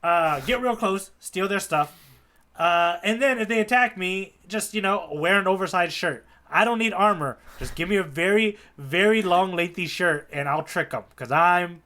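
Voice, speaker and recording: A man's voice: high voice, normal speed, pushed and bright. A man co-hosting a podcast in casual chat about manga, joking and acting out imagined quotes. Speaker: podcast co-host.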